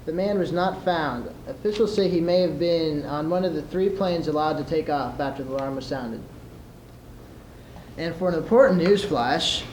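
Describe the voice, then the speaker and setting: A young man in his teens delivering scripted radio news as a student competitor. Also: a little high. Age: teenager. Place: large room at a school event. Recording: echoey, noisy live room recording.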